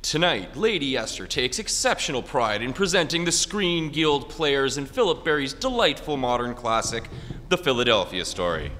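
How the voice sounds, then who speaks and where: An actor playing a grand, theatrical 1940s radio announcer introducing a play. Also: male voice, medium pitch, sharp tone. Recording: echoey hall, music underneath.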